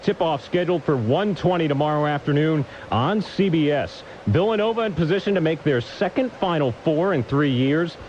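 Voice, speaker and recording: Male sports broadcaster, higher-pitched, polished scripted update read with punchy phrasing, slightly muffled lo-fi radio sound. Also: expressive, moderate pace, few pauses, a little mellow, a little hiss or hum.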